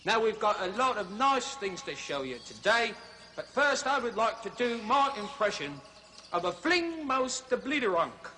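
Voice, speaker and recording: Man doing a high, excitable zoo host. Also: moderate pace, a little hiss or hum. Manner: excitable, chattering, fussy showman. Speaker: comedy zoo show host. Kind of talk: comic zoo host patter.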